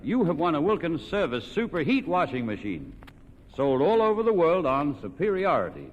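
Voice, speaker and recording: Male Australian quiz show host, folksy showman, swooping higher-set voice, vintage radio sound in a reverberant hall. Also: wildly swooping pitch, moderate pace, lo-fi sound. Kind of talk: old-time radio quiz show banter and sponsor plugs. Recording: vintage radio recording, echoey hall, background noise.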